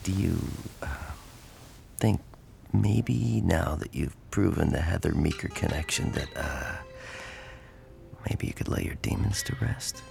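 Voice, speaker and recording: A deep, heavily creaky male newspaper reporter in an audio drama. He is easygoing and protective, with a wry, casual way of talking over faint music. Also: measured pace, darkish tone, exaggerated voice. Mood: intimate, teasing. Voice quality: gravelly. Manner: casual, wry, hesitant at tender moments. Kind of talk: intimate audio drama acting.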